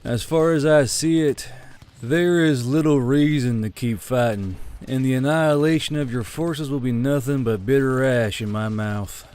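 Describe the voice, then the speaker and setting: A man's voice: mid-range voice, moderate pace, darkish tone, clean tone, close to an everyday voice. A man playing a weary, blunt, defiant military commander in a fantasy war audiobook. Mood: weary, bitter.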